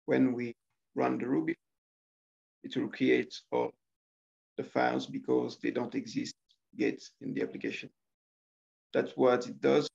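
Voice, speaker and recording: A man's voice: mid-range voice, steady tone, measured pace, vocal fry, long pauses, darkish tone. A young man presenting a student coding project over a video call, hesitant and full of filler sounds. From Rwanda. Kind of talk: screen-share software demo. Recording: slightly dull lo-fi audio.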